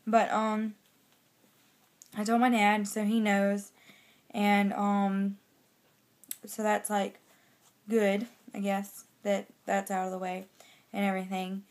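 A young woman with a slightly raspy voice from a cold, chatting casually in a personal vlog into a phone held at arm's length indoors. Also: mid-range voice, flat delivery, slow, long pauses. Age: young adult. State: has a cold. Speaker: pregnancy vlogger giving a weekly update. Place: small bedroom indoors.

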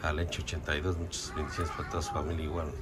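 A man's voice: very deep voice, level tone, rapid-fire. A man with a Mexican Spanish accent chatting casually in a restaurant booth, showing food and sending long lists of greetings and blessings. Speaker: vlogger. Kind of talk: casual food vlog chat. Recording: loud music playing behind.

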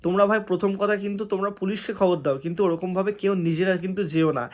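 A man's voice: sits high, steady tone, quick pace. A man with a West Bengal Bengali accent speaking as an online exam-coaching host, giving informal advice to students in an earnest, cautioning, emphatic way. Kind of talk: informal advisory talk to students.

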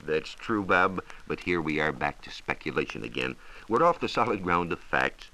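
An American man explaining science in a measured, formal way, as a reporter on a 1940s radio program. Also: extremely low pitch, expressive, pushed and bright, low-quality recording. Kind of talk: 1940s radio science talk, part scripted answers. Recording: 1940s radio transcription disc.

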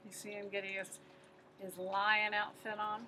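A woman in her fifties reading a picture book aloud as a teacher, expressive and putting questions to her listeners. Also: medium pitch, normal speed, a little hiss or hum. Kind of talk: animated children's picture-book read-aloud.

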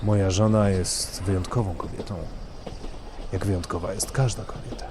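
Low-voiced gallant gentleman traveler played by an actor. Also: little pitch movement, background noise, close to an everyday voice. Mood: polite, reserved. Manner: gallant, formal, measured. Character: courtly, boastful married gentleman traveler, charming flirt. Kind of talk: Polish audio drama dialogue.

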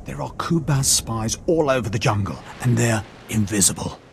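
An actor playing a paranoid, disgraced officer in a video game, urgent and conspiratorial. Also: male voice, mid-range voice, lively intonation, moderate pace, creaky, a little hiss or hum. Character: paranoid disgraced male Imperial officer, insistent and agitated. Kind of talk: video game cutscene acting.

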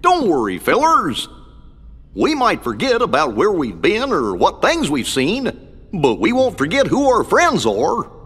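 A man voicing a good-hearted, slow-witted cartoon dog in a video game, with a husky, folksy, bumbling drawl. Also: high voice, wildly swooping pitch, fairly bright tone, exaggerated voice. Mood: reassuring. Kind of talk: video game cutscene voice acting. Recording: long hall reverb.

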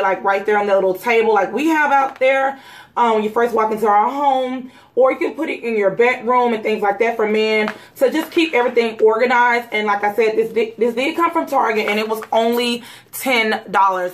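A woman in her thirties, hoarse from a cold, chatting casually to camera in her bedroom about gift ideas, rambling with lots of "um" and "like". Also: fairly high pitch, fairly bright tone. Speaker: YouTube vlogger. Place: bedroom at home. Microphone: camera mic, seated facing camera.

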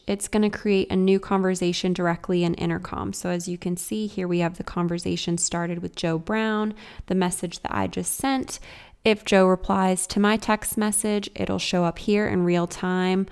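A woman presenting a software tutorial in an informal, explanatory way, like a casual guided walkthrough. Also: mid-range voice, fairly even intonation, moderate pace, clean tone. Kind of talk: casual scripted screen-share walkthrough.

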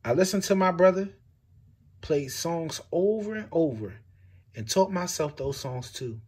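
A man reading a picture book aloud with expressive, swinging delivery. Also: a little slow, breathy edge. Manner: expressive storytelling with big swings in pitch and loudness.

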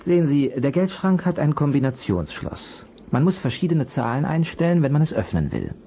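A calm, clever detective from a children's radio play. His voice has a slightly raspy grain and moves with a lively melody. He explains patiently, step by step, in a friendly way to children. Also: fairly high pitch, normal speed, full character voice. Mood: patient explaining.

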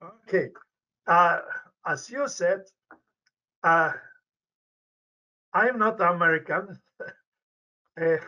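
An older man from Poland with a Polish accent, a medical researcher answering interview questions over a video call, speaking deliberately with many restarts. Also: high voice, bright, forceful tone. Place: indoor office with banner behind. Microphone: webcam on video call. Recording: dull lo-fi video call audio.

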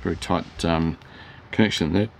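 A hoarse middle-aged man, rambling through a casual product demo in his hobby shop, with lots of fillers and self-corrections. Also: sits low, fairly even intonation, normal speed, a little hiss or hum. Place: hobby shop, shelves behind.

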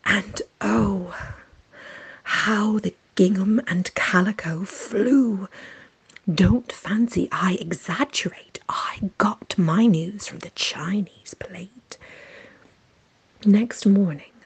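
A woman whispers a story poem in an airy, breathy hush. She reads slowly and gently, in a soft ASMR manner. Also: medium pitch, poor audio.

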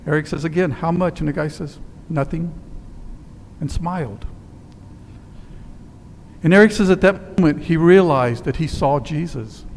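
Older priest preaching in a reverberant church. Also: male voice, fairly high pitch, slight background noise. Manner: storytelling with quiet, slowed emphasis. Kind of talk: sermon. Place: church sanctuary in front of altar. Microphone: headset mic. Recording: long church reverb with faint ambience.

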